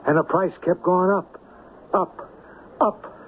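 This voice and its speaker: An actor playing a nervous, weak-willed small-time hustler who stammers, in a 1970s radio drama. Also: male voice, high voice, steady tone, slow pace, narrow, tinny audio, slight background noise. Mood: uneasy recounting. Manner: agitated, stammering. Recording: thin old radio recording with music.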